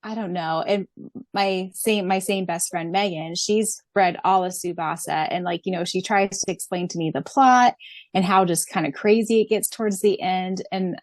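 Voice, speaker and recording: A woman's voice: lowish voice, moderate pace, dry recording. Giggly woman podcaster, dry close sound. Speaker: podcast co-host. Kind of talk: casual fan podcast chat.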